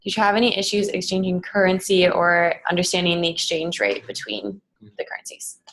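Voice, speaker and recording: A woman's voice: lowish voice, fairly bright tone, poor audio. A nineteen-year-old woman answering questions on a student panel, chatty and upbeat. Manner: chatty, upbeat, quick asides. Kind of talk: casual Q&A answers.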